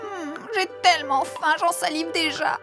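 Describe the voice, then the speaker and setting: A woman voicing a worn-out old cat with a plaintive, drawn-out delivery in a children's cartoon dub. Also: very high-pitched, over music. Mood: hungry. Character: sad, worn-out old cat with blunt teeth.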